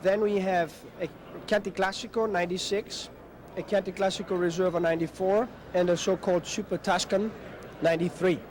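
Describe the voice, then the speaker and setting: A man presents a winery's wines, pausing often with fillers. His voice is notably high for a man, with a faint noise behind it. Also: fairly even intonation, darkish tone. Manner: informative, with many hesitations. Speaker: winery representative. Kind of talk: product explanation.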